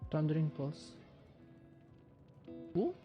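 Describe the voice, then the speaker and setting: A Filipino-accented man casually comments on his gameplay. His voice sits a little high for a man, and game music plays faintly behind it. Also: huge pitch swings, slow, mellow. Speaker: gamer.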